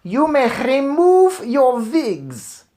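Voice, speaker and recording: Guy doing a scary witch queen for a storybook, foreign accent, way high pitched, barking slow harsh orders. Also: huge pitch swings, full character voice. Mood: imperious, menacing. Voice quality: harsh, rasping, snarling, guttural. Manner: slow, barking, shouted commands. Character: tyrannical female Grand High Witch, commanding and menacing.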